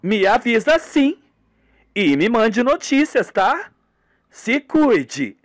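A man voicing a concerned young woman in a radio soap, with drawn-out, expressive exclamations. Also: extremely high pitch, dry recording, studio quality, pitched above the performer's own voice, exaggerated voice. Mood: sympathetic.

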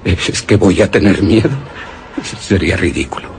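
A man's voice: sits low, moderate pace, vocal fry, darkish tone, faint music behind. An actor with a Castilian Spanish accent playing a nervous man in a gloomy haunted castle, muttering theatrically in a radio horror drama. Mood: agitated. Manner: theatrical, muttering tensely to himself.

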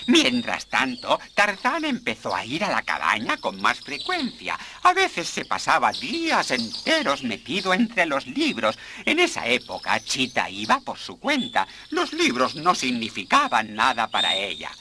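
A man's voice: mid-range voice, huge pitch swings, measured pace, few pauses, noisy background. A man giving scripted storybook narration for a cartoon.

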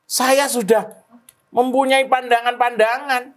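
A man's voice: very high voice, little pitch movement, slow, a bit bright, heavily acted. A man playing a boastful, mock-solemn middle-aged Javanese fortune-teller in improvised comedy banter, with a Javanese accent and a habit of repeating himself. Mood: mock-solemn prophecy. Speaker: guest fortune-teller on a comedy talk show.

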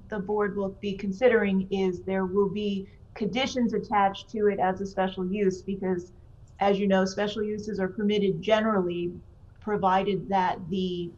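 A woman on a video call calmly explaining procedure to a planning board at a public meeting. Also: medium pitch. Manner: measured, explanatory. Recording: dull lo-fi video call audio.

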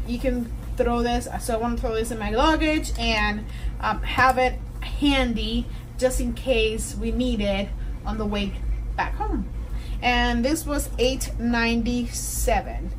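Higher-voiced woman vlogging over background noise. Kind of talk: casual vlog chat, mixing English and Spanish. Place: home kitchen, outdoors, and inside a car.

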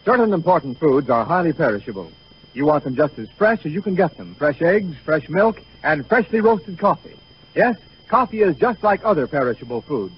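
Vintage radio commercial man: high, measured, emphatic sales read, dull old broadcast sound. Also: very animated, husky. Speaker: commercial announcer. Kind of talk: 1930s radio advertisement, scripted. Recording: muffled 1930s radio recording.

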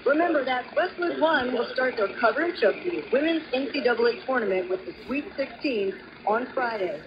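A woman's voice: a little high, lively intonation, brisk. A woman reading a scripted sports report as a radio reporter. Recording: dull, noisy AM radio reception.

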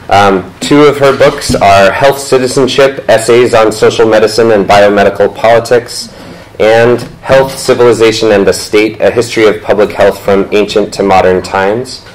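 A man's voice: on the low side, slight background noise, rough recording. A man in his thirties, the moderator at a talk, reading panelist introductions from notes and sometimes stumbling over words. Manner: reads from notes, stumbles and self-corrects. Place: lecture room, indoors.

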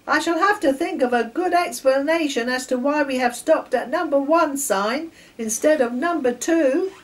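A woman voicing a usually calm male engine driver who scolds his steam engine in a storybook. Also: high voice, normal speed, distant mic, voice pushed up, close to an everyday voice, low-quality recording. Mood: flustered. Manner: stern, loud scolding.

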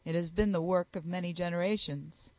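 A woman reading aloud, voicing a proud, reserved young gentleman with a cool, measured delivery in a lowered voice. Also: steady tone, dry recording, close to an everyday voice, low-quality recording.

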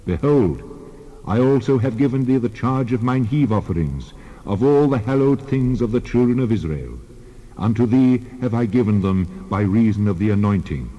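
An actor playing God as a solemn, commanding lawgiver in a dramatized scripture reading. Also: male voice, on the low side, mellow. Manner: solemn, declarative. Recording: long reverb, dull band-limited sound.

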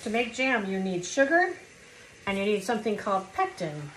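A woman's voice: medium pitch, big pitch swings, moderate pace, fairly bright tone. A woman filming on her phone in a home kitchen, patiently explaining cooking steps to a child. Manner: patient, coaching and explaining step by step. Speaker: family member filming and guiding a child cook. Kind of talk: casual instructional home cooking commentary. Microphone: phone camera held by the speaker off screen. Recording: echoey kitchen with clear background noise.

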